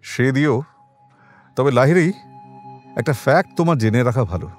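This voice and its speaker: A man's voice: medium pitch, lively intonation, normal speed, faint music behind, close to an everyday voice. An actor as a wry, reflective male detective. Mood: calm. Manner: musing, conversational, gently ironic. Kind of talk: Bengali audio drama acting.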